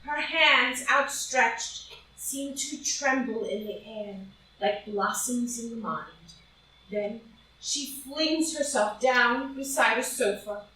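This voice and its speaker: A woman's voice: a little high, takes it slowly, sharp tone. A woman narrating an audio drama, reading scripted stage action in a dramatic style. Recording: very echoey room, distant mic, noise.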